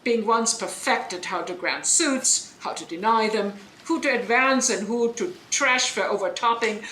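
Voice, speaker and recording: A woman's voice: on the high side, measured pace, pushed and bright. Woman acting a commanding deposed duchess, roomy sound. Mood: bitter, insistent. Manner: declamatory, emphatic storytelling, demanding attention. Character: deposed duchess and sorceress mother, commanding, bitter, scholarly. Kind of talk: Shakespearean audio drama acting. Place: indoor room, recorded over internet link. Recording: roomy remote recording, noise.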